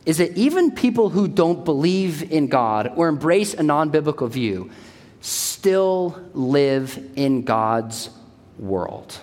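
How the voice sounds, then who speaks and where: A man's voice: a little high, sing-song, slow pace, a little mellow. A man in his forties giving an emphatic church talk, repeating his key points. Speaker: speaker at a church. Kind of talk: sermon-style talk. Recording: long hall reverb.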